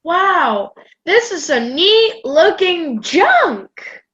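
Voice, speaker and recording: A woman playing an excitable, curious, blunt young grandchild in an amateur radio play. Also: extremely high pitch, drawn-out words, muffled recording, heavily acted. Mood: excited. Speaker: student radio play performer.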